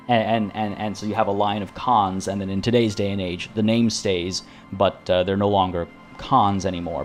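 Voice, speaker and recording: Low-voiced man rambling on a game stream. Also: darkish tone. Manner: rambling and tangential, with many restarts. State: says he is losing his voice. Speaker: streamer playing a video game. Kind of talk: casual live-stream chat to viewers.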